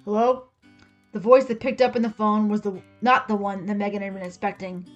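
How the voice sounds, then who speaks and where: A woman giving an expressive, scripted reading of a story as its narrator. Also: mid-range voice, a little fast, highs cut off. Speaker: story reader. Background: music with guitar.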